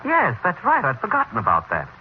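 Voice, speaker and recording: A man's voice: high-pitched, wildly swooping pitch, a little fast. Husband, male: stubborn, trusting, emphatic 1950s radio acting, muffled and lightly noisy recording. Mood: cheerful, affectionate.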